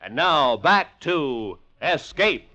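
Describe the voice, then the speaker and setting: Announcer man, a bit high, animated, old muffled broadcast sound. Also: extremely slow, over loud music. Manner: bright promotional patter. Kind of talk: radio announcement. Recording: dull old radio broadcast.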